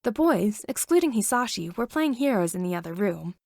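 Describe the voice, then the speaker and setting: A woman's voice: mid-range voice, big pitch swings, brisk, gravelly, darkish tone, no echo, clean studio sound. A woman reading a story aloud as scripted narration. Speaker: fan fiction reader.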